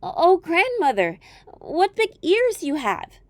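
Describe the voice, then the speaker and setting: Innocent storybook child, played by a woman: girlish high pitch, earnest, well-mannered, trusting, animated melody. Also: takes it slowly, no echo, full character voice. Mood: amazed. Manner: sweet, polite, earnest. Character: polite, innocent, trusting little girl.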